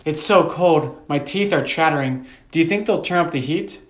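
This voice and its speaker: A man's voice: on the high side, moderate pace, roomy sound, voice pushed up, close to an everyday voice. A man voicing a frightened, stubborn little girl with a pleading, petulant manner in a story narration. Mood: curious, uneasy.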